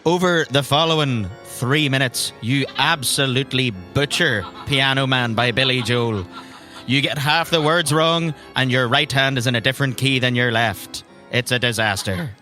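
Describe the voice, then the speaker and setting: A man's voice: mid-range voice, normal speed, bright, forceful tone, faint music behind. A man acting as game master, narrating an improvised tabletop roleplay session.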